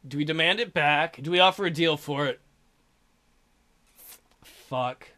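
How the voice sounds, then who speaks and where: A man's voice: fairly high pitch, unhurried, bright, forceful tone. Joking young man playing a game. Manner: joking, swears, hesitant fillers. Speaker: let's play gamer. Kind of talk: casual game commentary.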